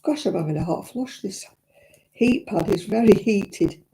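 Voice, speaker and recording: An older woman with a croaky voice, chatting and rambling to viewers while she knits on a live stream. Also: mid-range voice, animated, measured pace, dark tone. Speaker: crafting livestream host. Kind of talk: casual chat to live viewers. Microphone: own simple mic, live stream. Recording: crackly audio.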